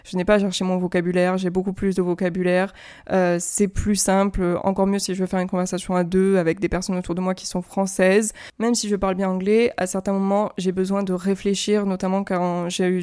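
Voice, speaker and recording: A woman's voice: mid-range voice, little pitch movement, a little fast, darkish tone, smooth, dry recording, studio quality. A French woman hosting her own podcast, talking casually and explaining things with lots of fillers and restarts. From France. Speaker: podcast host and YouTuber. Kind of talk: casual solo podcast talk.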